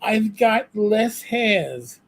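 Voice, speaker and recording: A man in his fifties co-hosting a livestream in casual, rambling chat, self-deprecating and prone to halting restarts. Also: high-pitched, lively intonation, vocal fry. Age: 50s. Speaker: livestream co-host.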